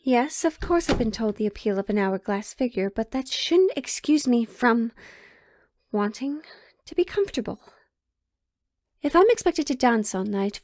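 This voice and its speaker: A woman's voice: a little high, a little mellow, no echo, studio quality. A woman playing a sheltered, pleading young royal in a romantic audio drama, with hesitant, formal old-fashioned phrasing. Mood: reluctant, polite.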